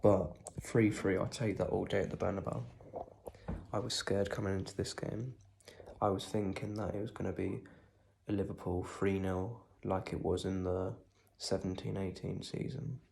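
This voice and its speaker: A young man with a cold and sore throat, talking quietly and casually about a football match, with lots of ums. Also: low-pitched, fairly even intonation, normal speed, creaky, slight background noise. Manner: speaks quietly, holding back volume; rambling with many ums. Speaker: football fan vlogger. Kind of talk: casual post-match reaction.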